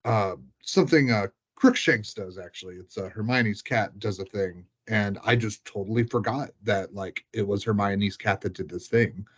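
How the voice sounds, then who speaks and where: A man's voice: fairly low pitch, normal speed, hardly any pauses, slightly rough, highs cut off. A man co-hosting a podcast, talking casually in a rambling, self-correcting way. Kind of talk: casual chat.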